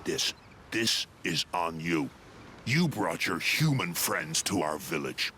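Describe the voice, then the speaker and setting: An actor playing a proud, bitter alien tribesman with a grave, forceful way of talking, in a video game. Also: low-pitched, sing-song, takes it slowly, a little hiss or hum, full character voice. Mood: bitter, accusing. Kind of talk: video game cutscene acting.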